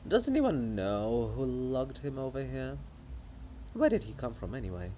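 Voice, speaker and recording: A man voicing a mocking, excitable chorus of gossiping living monuments in a theatrical reading. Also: mid-range voice, measured pace, a little hiss or hum, pitched below the performer's own voice. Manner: jeering, excitable, taunting.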